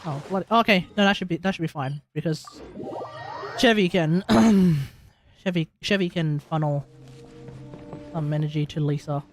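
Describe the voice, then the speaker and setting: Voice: mid-range voice, moderate pace, long pauses, over music. A game livestreamer giving casual live commentary on gameplay, with playful exclamations and muttered asides.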